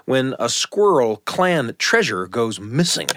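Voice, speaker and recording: A man's voice: medium pitch, sing-song, slow pace, background noise. A man hosting a story show, reading a scripted introduction in an upbeat presenter's manner. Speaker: host.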